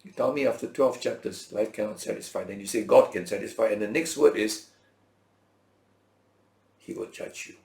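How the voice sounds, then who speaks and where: Gravelly man preaching in an echoey room. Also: on the low side, moderate pace, pauses often, darkish tone. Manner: emphatic, dramatic short phrases with rhetorical questions. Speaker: preacher and Bible teacher. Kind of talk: sermon-like Bible lesson. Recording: roomy, echoing sound.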